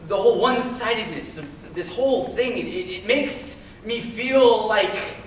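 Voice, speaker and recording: A man's voice: sits high, animated, measured pace. A man playing an anxious, pleading lover in a contemporary dramatic stage monologue, stammering and repeating his words. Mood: apologetic, frustrated. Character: anxious, pleading, passionate man in a troubled relationship. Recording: very echoey room, far from mic, faint noise.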